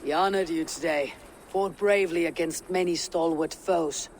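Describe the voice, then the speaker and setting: A woman playing a self-assured Viking warrior leader in a video game, direct and measured. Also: lowish voice, steady tone, slight background noise, lightly acted. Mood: steady. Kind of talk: video game cutscene acting.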